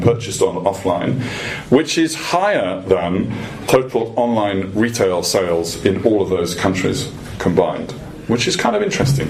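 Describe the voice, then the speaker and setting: A man giving a prepared policy presentation to a room as a guest speaker, in a measured, lightly humorous manner with pauses. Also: on the low side. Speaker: guest speaker, industry association executive. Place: event room at a dinner debate. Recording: clearly noisy live event recording.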